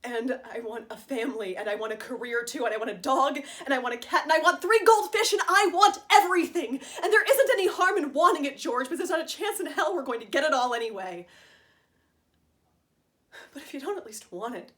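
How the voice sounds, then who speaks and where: A woman's voice: fairly high pitch, big pitch swings, fast, hardly any pauses. A woman acting a dramatic stage monologue as a wounded, defiant wife pleading fiercely with her husband, emphatic and emotionally raw. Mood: fiery, exasperated.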